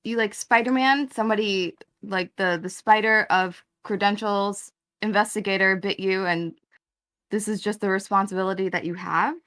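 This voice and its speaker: A woman's voice: mid-range voice, steady tone, moderate pace, fairly bright tone. A woman in her thirties arguing hard in an online debate, exasperated and swearing, talking over the other side. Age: 30s. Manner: exasperated, confrontational, swears, talks over him. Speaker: debate guest.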